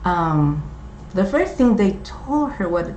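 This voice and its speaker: A middle-aged woman from Puerto Rico, with a Puerto Rican accent and Spanish as her first language, talking in a slow, halting way with many fillers. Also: fairly low pitch, big pitch swings, warm tone, a little hiss or hum. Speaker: co-host. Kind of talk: casual chat. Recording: dull, echoey room recording.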